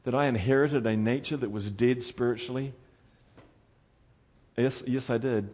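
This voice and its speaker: Kiwi preacher guy, earnest and pleading, middle-pitched voice, goes loud then quiet. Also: steady tone, moderate pace. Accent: New Zealand. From New Zealand. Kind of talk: sermon.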